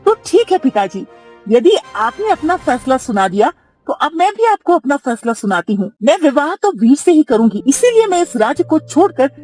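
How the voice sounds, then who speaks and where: A determined young cartoon princess, acted by a woman, speaks in a high, mellow voice. Her intonation swings widely and dramatically, over faint music. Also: sing-song, moderate pace. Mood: defiant. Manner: resolute, dramatic. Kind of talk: cartoon dubbing.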